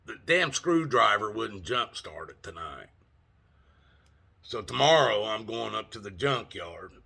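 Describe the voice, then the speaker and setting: Older guy vlogging, husky with a bright edge, easygoing storyteller. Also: fairly low pitch. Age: 60s. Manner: folksy, rambling, laughs often, mild cursing. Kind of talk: casual storytelling vlog.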